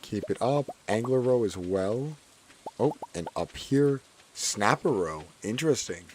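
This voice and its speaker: A hoarse man with a fairly low voice talks his way through a farming game, his words cracking now and then. He chats loosely and says many lines twice. Faint noise sits under his voice. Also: expressive, unhurried, darkish tone, low-quality recording. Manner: chatty, repeats his own phrases. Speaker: gaming streamer. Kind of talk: casual gameplay commentary.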